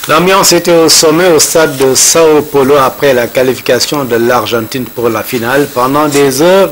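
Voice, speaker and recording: A man anchors the evening news from a studio. His delivery is formal and measured at a middle pitch. A faint noise sits under the voice. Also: a bit bright, low-quality recording.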